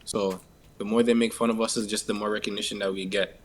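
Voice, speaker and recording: A man with a Toronto accent answering interview questions in casual, sweary, slang-heavy talk, halting and leaning on repeated tag phrases. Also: fairly low pitch, little pitch movement, a little hiss or hum. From Canada. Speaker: interviewee, musician.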